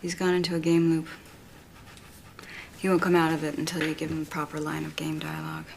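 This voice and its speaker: A woman's voice: low voice, fairly quick, creaky, noisy background. An actress playing a matter-of-fact, intense woman player inside a virtual game, in film dialogue. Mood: explaining. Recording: film clip with music behind.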